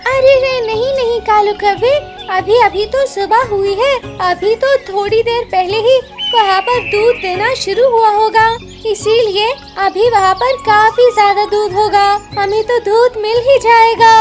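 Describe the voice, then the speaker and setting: A woman's voice: extremely high pitch, steady tone, unhurried, hardly any pauses, over music. A woman voicing a small, bossy female bird in a children's cartoon, with an exclamatory, scolding manner. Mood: brisk, chiding. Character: small female bird, bossy, insisting she rules the forest. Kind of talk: Hindi children's cartoon dubbing.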